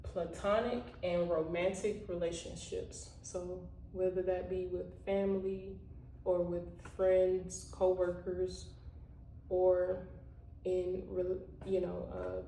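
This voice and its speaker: A woman's voice: lowish voice, flat delivery, slow pace, creaky, warm tone, slight background noise. A woman giving a casual motivational talk to her viewers, full of "you know" and "like.". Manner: conversational, heavy use of "you know" and "like". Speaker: vlogger. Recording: roomy echo, voice a bit far from the mic.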